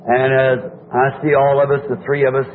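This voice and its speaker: An older man preaching to a congregation in a hoarse voice, folksy and rambling, asking the crowd questions. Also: medium pitch, level tone, moderate pace. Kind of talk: sermon. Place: church meeting. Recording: tinny lo-fi old tape with faint hiss.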